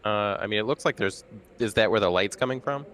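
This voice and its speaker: Hesitant low-voiced man player. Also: quick pace, fairly bright tone. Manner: hesitant, thinking aloud. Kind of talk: casual chat during a tabletop game.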